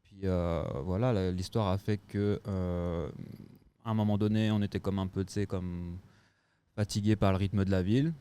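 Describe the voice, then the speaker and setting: A French man chats as a podcast guest, recounting a move abroad in an easygoing way. His voice sits low and warm, and he fills gaps with hesitations. A faint Québécois lilt colors his French. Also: normal speed, smooth. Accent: French with a picked-up Québécois touch. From France. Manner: relaxed, lots of filler hesitations. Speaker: guest, co-owner of a restaurant. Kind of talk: casual storytelling chat.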